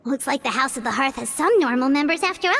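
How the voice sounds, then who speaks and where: A woman voicing a small, excitable fairy companion in a fan dub; she talks about herself in the third person. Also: high-pitched, moderate pace, vocal fry, in a large hall, a little hiss or hum, heavily acted. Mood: teasing. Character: small female fairy companion, bubbly and nosy.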